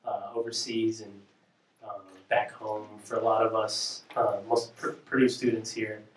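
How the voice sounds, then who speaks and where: A man hosting a campus question-and-answer evening, halting and filling his questions with "uh" and "um". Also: on the low side, little pitch movement, echoey room, recorded from a distance, low-quality recording. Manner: halting, many "uh" and "um". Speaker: event host and interviewer.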